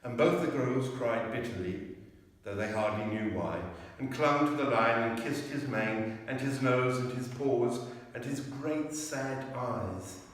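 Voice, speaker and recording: In a large, very reverberant church, a man narrates a storybook from some distance off the microphone. He reads slowly and dramatically, with deliberate phrasing at a medium pitch. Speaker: clergyman reading a children's story aloud. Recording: very echoey church, far from mic.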